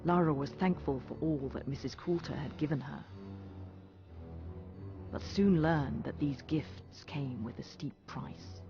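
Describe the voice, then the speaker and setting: A man acting as a long-lived storyteller, narrating a young girl's tale in a measured, wistful, literary manner for a game. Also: fairly high pitch, vocal fry. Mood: solemn, wistful. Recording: dull audio under loud music.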